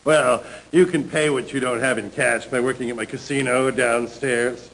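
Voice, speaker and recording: An actor playing a smug, envious pool shark in a video game cutscene, with showy, drawling taunts. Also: male voice, mid-range voice, steady tone, moderate pace, creaky, fairly bright tone, slight background noise, rough recording. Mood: smug, taunting.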